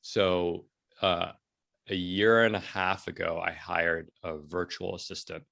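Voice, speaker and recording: A man in his forties speaking casually as an interview guest, telling stories in an animated way and acting out bits of dialogue. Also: sits low, takes it slowly, creaky. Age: 40s. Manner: animated storytelling, acts out quoted dialogue, often checks in with "right?". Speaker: entrepreneur and blogger being interviewed. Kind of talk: casual conversational interview talk.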